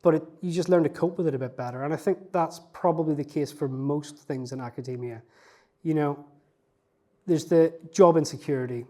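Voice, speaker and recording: A man's voice: on the high side, fairly quick, warm tone. A man, a researcher, answering interview questions in a reflective, self-effacing way, with frequent fillers and restarts. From not from Newcastle.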